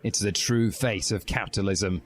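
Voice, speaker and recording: A man's voice: on the low side, normal speed, lightly acted. Male reader as ranting teenage boy revolutionary, indignant, bombastic, self-important, comic storybook, music behind. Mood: grave, fervent.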